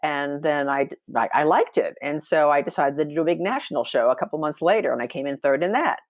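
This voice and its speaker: A woman's voice: low-pitched, quick pace, no room sound. A woman in her sixties, a podcast guest, telling her story in a chatty, upbeat way with lots of fillers and restarts. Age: 60s. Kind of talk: casual storytelling interview.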